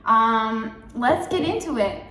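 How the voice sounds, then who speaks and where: A woman's voice: on the high side, animated, slow pace, pushed and bright. A young woman from Mexico chatting in a casual, upbeat devotional vlog, full of fillers like "um" and "like". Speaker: faith vlogger. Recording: roomy, a bit far from mic.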